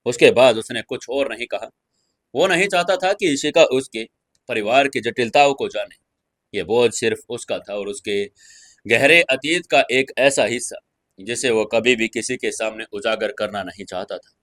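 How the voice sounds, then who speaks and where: A man's voice: mid-range voice, normal speed, fairly bright tone, no room sound. A man reading a scripted romantic serial as a storyteller, with dramatized delivery. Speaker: audio story narrator.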